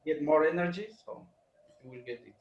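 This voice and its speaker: A man's voice: a little high, a little fast, dull audio. A man taking part in an online teachers' workshop, polite and earnest with hesitant restarts. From Peru. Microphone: video call. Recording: echoey room over a video call.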